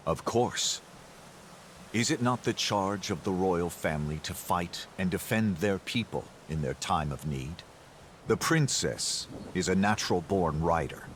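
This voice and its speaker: A stern monarch with a very low voice. He speaks formally and with full authority, in video game cutscene style. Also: lively intonation, a little slow, slight background noise. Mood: firm, assured. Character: stern, commanding king addressed as His Majesty.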